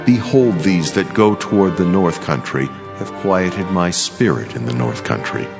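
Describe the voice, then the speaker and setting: An actor voicing a solemn, commanding angel with grave, slow delivery in a scripture audio drama. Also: male voice, sits low, expressive. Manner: grave, slow, declamatory pronouncements. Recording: long cavernous reverb, music behind. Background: music.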